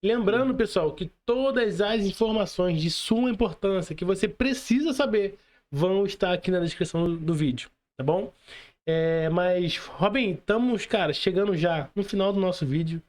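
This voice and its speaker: A Brazilian man with a clearly high-pitched voice hosts a casual livestream. He talks in a friendly, informal manner, using plenty of slang as he addresses guest and viewers. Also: normal speed, a little mellow. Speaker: podcast host. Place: remote video call.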